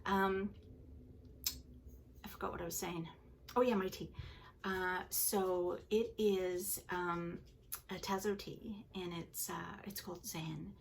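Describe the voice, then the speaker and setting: An older woman from England with a softened Liverpool accent, hosting a knitting podcast from home and chatting casually with the viewer. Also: on the low side, steady tone, slow, vocal fry, long pauses, slight background noise, rough recording. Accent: Canadian-influenced English, originally from Liverpool. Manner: chatty, rambling, self-deprecating. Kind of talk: casual chat to camera. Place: home, seated indoors.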